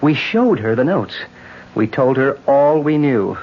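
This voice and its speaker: A husky, determined husband. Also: male voice, mid-range voice, expressive, a little slow. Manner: earnest, insistent questioning. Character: worried, determined man protecting his wife. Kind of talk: 1970s radio drama acting. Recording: dull lo-fi old radio recording.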